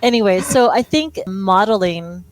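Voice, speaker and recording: A woman's voice: mid-range voice, expressive, a little slow, low-quality recording. A middle-aged woman from Hawaii, a speech-language pathologist, chatting as an interview guest in a self-deprecating way, with frequent laughs. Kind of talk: conversational interview.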